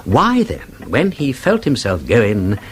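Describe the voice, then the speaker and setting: An actor playing a witty, inquisitive gentleman sleuth in a radio drama, with an upper-class English accent and an urbane, argumentative, theatrical manner. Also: mid-range voice, wildly swooping pitch, slow pace, slight background noise. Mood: keen, persistent. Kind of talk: British radio drama acting.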